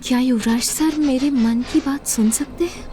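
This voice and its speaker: A woman's voice: sits high, normal speed, mellow, a little hiss or hum, lightly acted. A woman playing a timid, curious and caring young woman in an audio drama, with a wide-eyed, earnest manner. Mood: astonished. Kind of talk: Hindi audio drama acting.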